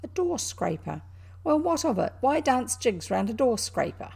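A woman's voice: a little high, sing-song, moderate pace, vocal fry, darkish tone, slightly rough, pitched above the performer's own voice, full character voice. A woman voicing a grumbling, literal-minded male mole in a storybook, indignant and easily put out. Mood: unimpressed. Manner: complaining, indignant, wordy. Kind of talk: animated storybook character reading.

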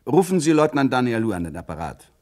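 An actor playing a calm, authoritative middle-aged police commissioner in a radio crime play, terse and probing. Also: male voice, mid-range voice, fast, close to an everyday voice. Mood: firm, businesslike. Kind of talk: German radio play acting.

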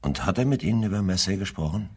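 An actor playing a probing, deliberate police investigator in a German crime radio play, questioning in a measured, formal way with a gravelly voice. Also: male voice, low-pitched, animated. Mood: probing, tactful.

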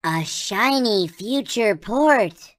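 A man's voice: high voice, lively intonation, extremely slow, a bit bright, dry recording, studio quality, full character voice. A man voicing a tiny, childlike alien toy in a video game, speaking with reverent wonder in formal, chant-like phrases. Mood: solemn wonder. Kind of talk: video game character voice clips.

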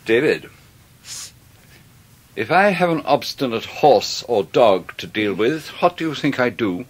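A cold English stepfather with a medium-pitched voice. He speaks in stern, clipped phrases, polite on the surface and menacing beneath, in radio drama style. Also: animated, measured pace. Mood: quiet menace.

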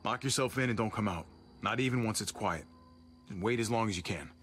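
An actor playing a calm, reassuring man in his thirties, a protective survivor in a zombie video game. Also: on the low side, brisk, lightly acted. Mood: serious, caring. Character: steady, protective young uncle surviving a zombie apocalypse. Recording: faint music underneath.

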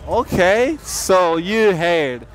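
A man's voice: high-pitched, very animated, drawn-out words, a faint crowd, rough recording. A man doing street interviews at night, playful and repeating his questions. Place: busy city street at night.

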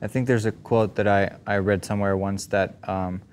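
A man's voice: on the low side, fairly even intonation, moderate pace, darkish tone, smooth. A man hosting an interview, asking short, conversational questions. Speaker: interview host. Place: indoor gallery space.

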